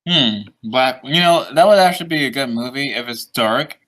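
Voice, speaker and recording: Young dude hosting a stream, chill and jokey, average voice. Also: male voice, mid-range voice, lively intonation, normal speed, fairly bright tone. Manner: joking, laid-back, laughs easily. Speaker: stream host. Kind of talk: casual chat over a call.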